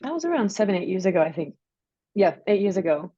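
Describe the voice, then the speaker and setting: A woman's voice: mid-range voice, big pitch swings, fast, dark tone, breathy edge, no room sound, highs cut off. A woman from Turkey in her thirties with a Turkish accent, speaking as a guest coach in a reflective, searching way. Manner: reflective, searching phrasing with fillers. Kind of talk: relaxed interview.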